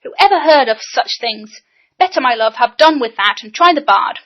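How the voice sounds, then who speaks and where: A fussy, fretful lady scolds and exclaims in a fairly high voice, as a woman reads her. The fretting comes through a dull, muffled, lo-fi recording. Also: expressive, moderate pace.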